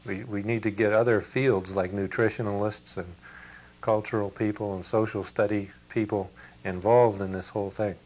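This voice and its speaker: A man's voice: lowish voice, fairly even intonation, moderate pace, a little mellow, poor audio. An older man on a studio panel who speaks thoughtfully and measuredly, with small hesitations. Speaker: panel guest. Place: TV studio set, indoors. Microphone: lapel mic.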